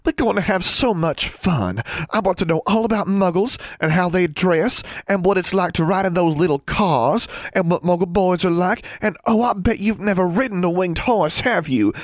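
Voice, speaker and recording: A man's voice: high-pitched, moderate pace, hardly any pauses, no room sound, clean studio sound, pitched above the performer's own voice, lightly acted. A man voicing a bubbly, affectionate older teenage girl in an audiobook, with an effusive, gushing manner. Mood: excited, gushing. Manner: effusive, cooing, sing-song.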